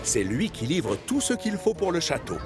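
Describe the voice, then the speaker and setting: A man's voice: on the high side, huge pitch swings, moderate pace, over music. A man narrating a children's cartoon in a playful storytelling way.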